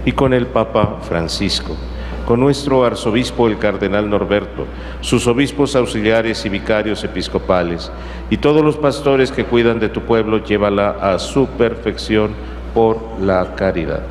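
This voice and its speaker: A man's voice: fairly low pitch, unhurried. A middle-aged man, a priest reading a liturgical prayer from the book. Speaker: concelebrating priest. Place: large church sanctuary. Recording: echoey church, reverb, faint noise.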